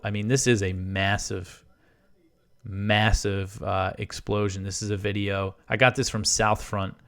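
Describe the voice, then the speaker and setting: A man hosting a news podcast, reading reports in an informal way with halting off-the-cuff asides. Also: lowish voice, fairly bright tone, clean tone.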